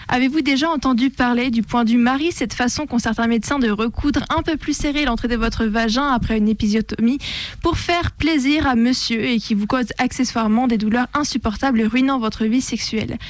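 A woman's voice: a little high, brisk, no room sound. A woman with a French accent presenting a scripted opinion piece on radio in a militant, rhetorical, slangy way. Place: radio studio.

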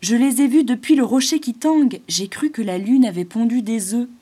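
A woman doing a wide-eyed, excitable chamois. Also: fairly high pitch, moderate pace, slightly rough, voice pushed up. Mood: amazed. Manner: loud and amazed.

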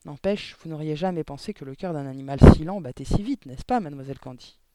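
Man voicing an excitable little girl, pitched high. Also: fast, heavy background noise, close to an everyday voice. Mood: eager, curious. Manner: exclaiming, urgent questioning. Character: bright, excitable little girl, eager and indignant.

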